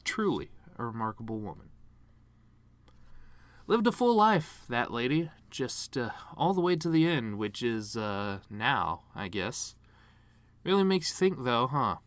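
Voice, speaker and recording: Casting: a man playing a grieving, bitter male horse delivering a eulogy monologue; medium pitch, sardonic and self-deprecating, dry recording. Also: measured pace, dry recording. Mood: flippant, bitter. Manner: sardonic, rambling, self-deprecating deadpan humor. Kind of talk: dramatic monologue reading.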